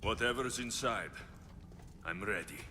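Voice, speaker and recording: An actor playing a rugged, wry but caring mercenary hero in a video game, with a Greek accent and a blunt, reassuring manner. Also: male voice, on the low side, animated, a little slow, sharp tone. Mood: determined. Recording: noisy ambience behind the dialogue.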